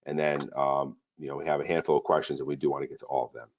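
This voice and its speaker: A man's voice: rumbling low, flat delivery, very fast, lo-fi sound. A man co-hosting a sales webinar in an upbeat, repetitive way with many fillers. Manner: upbeat, repeats words, fillers.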